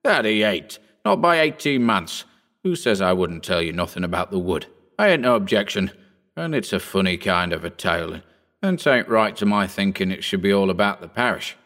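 A man doing a gruff old rustic countryman. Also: sits low, lively intonation, normal speed, a bit bright. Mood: grumbling. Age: older. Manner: rustic dialect, grumbling. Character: elderly male countryman, gruff and proud.

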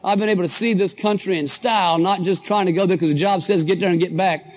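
A middle-aged man with a hoarse voice, giving an enthusiastic, folksy motivational talk to a live audience. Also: high-pitched, fairly even intonation, fast talker, darkish tone. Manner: folksy, enthusiastic, addresses crowd as guys and folks. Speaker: motivational speaker at a business convention.

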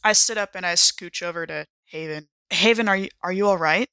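A woman's voice: fairly low pitch, fairly quick, pushed and bright, clean tone, dry recording. A woman playing in an improvised role-play podcast, talking conversationally in and out of character.

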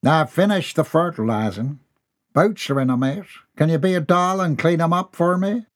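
A man's voice: on the high side, normal speed, voice pushed up, natural voice. A man playing a blunt, bossy Southern tobacco farmer, middle-aged, speaking in a raised voice. He orders his family around in a storybook reading.

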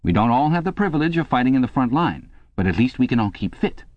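A man voicing a shrewish, bossy woman of thirty-nine who drills people briskly, as an audiobook character. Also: mid-range voice, very animated, voice pushed up, lightly acted. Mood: bossy, exhorting. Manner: barking drill commands, scolding then briskly encouraging. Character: shrewish 39-year-old female exercise instructress, bossy and brisk. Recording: dull, echoey room recording.

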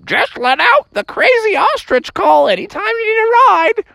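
A man voicing a friendly, excitable talking ostrich in an improvised children's story, with a squeaky delivery. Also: moderate pace, gravelly, no room sound, full character voice. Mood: cheerful, eager. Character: friendly, eager, high-voiced talking ostriches.

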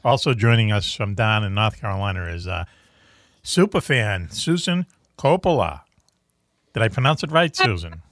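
A man's voice: on the low side, lively intonation, normal speed, fairly bright tone, dry recording, studio quality. A man hosting a talk show, interviewing a caller in a loose, conversational way and repeating words as he starts sentences. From New England. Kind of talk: casual interview.

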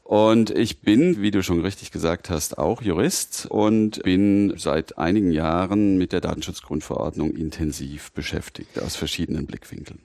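A man's voice: sits low, normal speed, few pauses, darkish tone, no echo, studio quality. A man from Mainz, a guest on a podcast, speaking thoughtfully and hesitantly with many fillers. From Germany, Mainz. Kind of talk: casual podcast conversation.

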